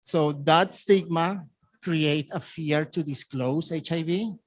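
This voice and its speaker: A man from Venezuela with a Venezuelan Spanish accent gives a conference presentation in halting, simply phrased second-language English. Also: fairly high pitch, no room sound. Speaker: community presenter.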